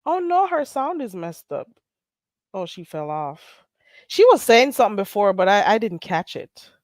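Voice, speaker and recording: A woman's voice: medium pitch, big pitch swings, moderate pace, no echo, clean studio sound. A woman hosting a live online panel, chatting informally and moderating with an amused manner. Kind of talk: casual chat, moderating.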